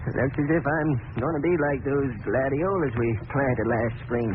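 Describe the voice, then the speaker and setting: An ailing, resigned gardener from a vintage radio play, played by a man. He is humble, deferential and plaintive. The recording is thin and clearly noisy. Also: medium pitch, normal speed, narrow, tinny audio, lightly acted. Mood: weak, resigned. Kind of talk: 1940s radio drama acting.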